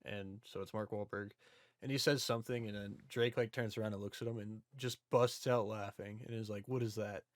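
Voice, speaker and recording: A man's voice: fairly low pitch, steady tone, brisk, no room sound. Casual man, close dry sound. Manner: informal, self-described history nerd. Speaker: podcast co-host. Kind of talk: casual chat about video game movies.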